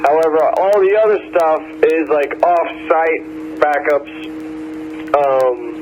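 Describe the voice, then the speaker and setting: A man's voice: on the high side, slow pace. A man chatting informally and technically over a noisy ham radio link, with slangy phrasing. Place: on a two-way radio repeater.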